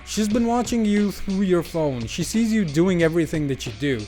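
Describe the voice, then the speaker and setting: A man's voice: high voice, animated, moderate pace, mellow, faint music behind. A man giving casual, unscripted video game commentary in a slangy, jokey, emphatic way.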